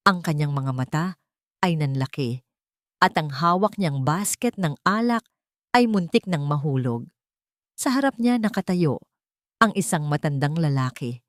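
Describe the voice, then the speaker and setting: A woman's voice: deep voice, lively intonation, unhurried, long pauses, no room sound, clean studio sound. A woman storyteller reading scripted fiction in a dramatic narration style.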